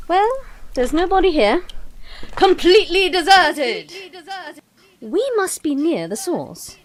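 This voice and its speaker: A woman's voice: very high voice, big pitch swings, slow pace, heavily acted. A woman playing an eccentric, breezy, brainy time-travelling Doctor in an audio drama, chatty and whimsical, with quaint turns of phrase. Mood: curious.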